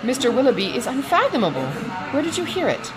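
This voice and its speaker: A woman voicing a sensible young gentlewoman in an audiobook, measured, polite and restrained. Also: high voice, wildly swooping pitch, background noise, pitched above the performer's own voice. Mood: forced calm. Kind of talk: audiobook character dialogue.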